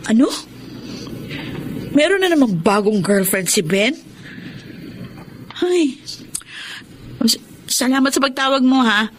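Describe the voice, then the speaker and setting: An actress playing a bitter, disappointed woman in a radio drama, speaking in a weary, deliberate, dramatic way. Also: high voice, very animated, a little hiss or hum, full character voice. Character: disappointed woman on the phone, bitter about an unfaithful man. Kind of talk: Tagalog radio drama acting. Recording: radio drama with music bed. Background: dramatic music.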